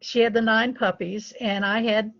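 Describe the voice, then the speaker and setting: A woman's voice: fairly high pitch, fairly even intonation, normal speed, a bit bright, no room sound. Middle-aged lady chatting about her dogs, dry close sound, bit dull and lo-fi. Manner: chatty, anecdotal, laughs between stories. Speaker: dog breeder, interview guest. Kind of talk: casual storytelling interview.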